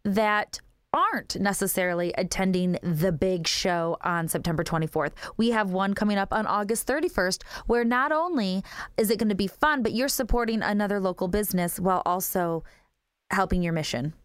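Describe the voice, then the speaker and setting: Host woman, upbeat, enthusiastic, smooth and resonant tone, dry booth sound. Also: medium pitch, normal speed, no echo. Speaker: radio show host. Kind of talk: casual radio interview.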